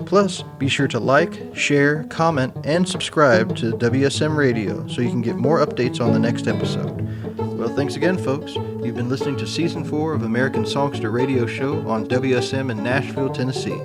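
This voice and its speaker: Upbeat male radio host over loud music. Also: mid-range voice, normal speed. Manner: upbeat, announcer-like delivery. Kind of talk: scripted show sign-off. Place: radio studio.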